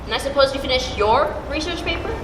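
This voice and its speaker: A woman's voice: high voice, expressive, quick pace, background noise. A young woman playing a stressed, dramatic teenage student in a school play, recorded on an echoey stage. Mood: stressed, exasperated.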